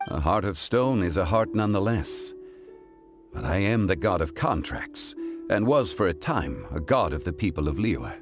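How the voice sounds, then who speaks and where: A dignified, immortal god for a video game. The voice is very low, and the speech is formal, eloquent and composed. Music plays clearly behind it. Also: male voice, big pitch swings, normal speed. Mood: solemn, calm. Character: ancient composed male god of contracts, dignified and measured. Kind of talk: scripted video game dialogue. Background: background music.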